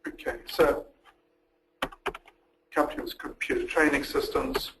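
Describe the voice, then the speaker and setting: A man giving a methodical, step-by-step walkthrough as a software demonstrator in a recorded webinar. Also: fairly high pitch, sing-song, measured pace. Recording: noticeable background noise.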